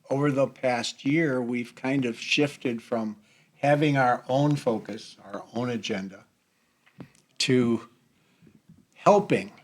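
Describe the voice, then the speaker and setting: Older guy in a church group chat, average pitch, kinda halting, hunting for words. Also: slight background noise. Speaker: church seniors' group member. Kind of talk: casual group discussion.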